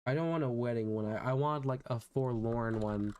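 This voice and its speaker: A man chatting casually on a livestream, halting and self-conscious, often repeating his words. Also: medium pitch, darkish tone, clear, resonant tone. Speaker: VTuber streamer practicing voice acting. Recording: background music under the voice.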